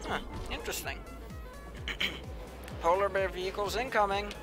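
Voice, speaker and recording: A young man with a slightly high, somewhat whiny voice talks casually to his viewers while he plays. He trails into quiet asides to himself, and music plays clearly behind him. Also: a little slow. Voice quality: says he has a whiny voice. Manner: chatty, mumbles asides to himself while playing. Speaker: game streamer. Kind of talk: casual live-stream commentary, reading chat. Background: background music.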